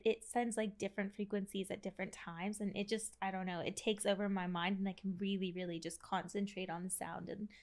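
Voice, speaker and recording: A young woman guest on a podcast with a soothing, cheery voice, chatting easily in a studio at a boom microphone. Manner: cheery, easygoing, gentle. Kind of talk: casual chat. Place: decorated studio set. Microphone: studio mic on boom arm.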